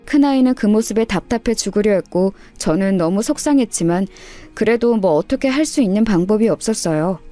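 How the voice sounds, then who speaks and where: A woman's voice: medium pitch, expressive, a little fast, mellow, soft background music. A woman reading a scripted first-person life story in a radio story style. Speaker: storyteller narrator.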